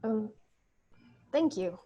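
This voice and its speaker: A woman's voice: mid-range voice, lively intonation, extremely slow, mellow, highs cut off. A woman playing a wary, earnest young refugee in a staged play reading, hesitant and polite. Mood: hesitant, grateful. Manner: hesitant, polite Southern phrasing.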